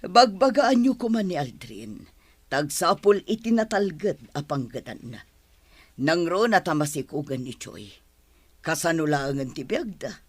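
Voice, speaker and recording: A woman playing a worried, gently reproachful middle-aged mother, imploring and concerned, in an audio drama. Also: low voice, sing-song, a little slow, breathy edge, no echo, full character voice. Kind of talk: Ilocano radio-style audio drama acting.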